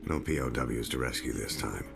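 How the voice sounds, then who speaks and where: A rock-bottom, fry-laden American voice tells of war as a hardened Marine. He is grim and weary, speaking in terse, grave sentences like a video game campaign narrator. Heavy music plays right beneath him. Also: rumbling low, normal speed. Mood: grim, steady. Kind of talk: scripted dramatic war narration. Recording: loud music under the voice.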